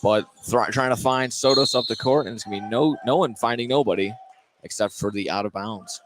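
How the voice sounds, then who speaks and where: A man doing live play-by-play basketball commentary, halting at times and correcting himself mid-call. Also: medium pitch, noisy background. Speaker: play-by-play announcer. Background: gym ambience with bouncing basketballs.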